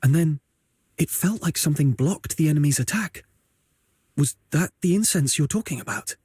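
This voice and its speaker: A reserved young adventurer from a fantasy game, speaks thoughtfully. His voice is fairly high for a male and very breathy and husky, recorded dry. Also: lively intonation, moderate pace, darkish tone, no echo. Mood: curious, pondering. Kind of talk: video game character acting.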